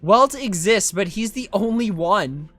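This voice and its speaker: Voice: medium pitch, animated, unhurried, a bit bright, soft background music. A game streamer giving casual live commentary in an informal, self-questioning way. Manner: informal, self-questioning, often ends with "right?".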